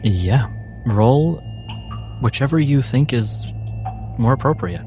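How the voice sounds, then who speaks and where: A man narrating as game master in a tabletop game, measured and descriptive. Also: mid-range voice, lively intonation, warm tone. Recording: clear music behind the voice.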